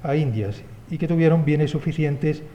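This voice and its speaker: A man with a Spanish accent delivers an academic lecture in a conference hall, his mellow, warm voice moving at a measured pace. He pauses often on fillers and checks in with tag questions. A faint background hiss sits under the recording. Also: on the high side, muffled recording. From Spain. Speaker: historian giving a conference talk.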